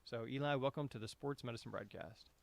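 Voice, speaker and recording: A man's voice: medium pitch, quick pace, vocal fry, no room sound. A male host guides a podcast interview in a casual way. He phrases his questions loosely and conversationally, handing the floor from one guest to the other.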